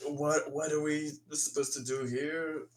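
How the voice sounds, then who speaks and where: A man's voice: fairly high pitch, level tone, a little slow. A man playing a tabletop roleplaying game, casually saying what his character says and does.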